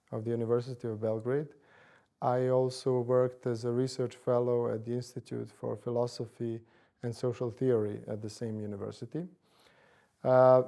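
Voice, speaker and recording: A man in his forties from Bosnia and Herzegovina, speaking, answers interview questions in a measured way, with frequent fillers and hedges. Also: medium pitch, creaky, dark tone. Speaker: interviewee, church commission director.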